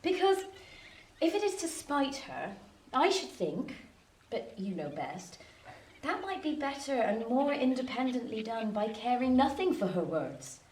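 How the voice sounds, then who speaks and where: An actress playing a gentle, sensible, sweet-tempered common country girl, candid yet hesitant, in a radio drama. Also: on the high side, very animated, unhurried. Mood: tactful advice. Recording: echoey room, distant mic, faint background noise.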